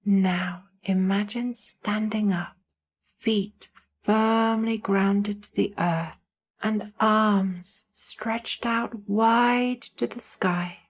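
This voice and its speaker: Casting: female meditation guide, mid-pitched, very unhurried delivery, hushed and soothing, short phrases with generous pauses. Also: fairly even intonation. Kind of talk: slow guided meditation narration.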